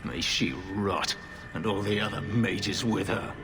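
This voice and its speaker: A man's voice: fairly low pitch, a little slow, gravelly. An actor playing a bitter elven male ex-slave warrior in a video game, grave and clipped. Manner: grave, clipped, controlled intensity. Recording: game audio with reverb and music.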